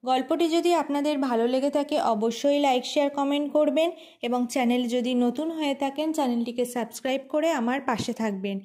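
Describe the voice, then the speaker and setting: A woman's voice: high-pitched, brisk, dark tone. A woman hosting a story channel, reading a scripted tale as its narrator.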